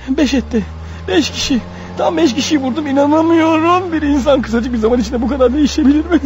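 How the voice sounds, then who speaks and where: A man's voice: very high voice, fast, darkish tone. An actor playing a desperate, wounded killer on the run in a radio drama, agitated, paranoid and muttering to himself as his strength fails. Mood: anguished, self-justifying. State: weak, bleeding, fighting off sleep. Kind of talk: radio drama acting with inner monologue. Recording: dull radio recording with faint noise.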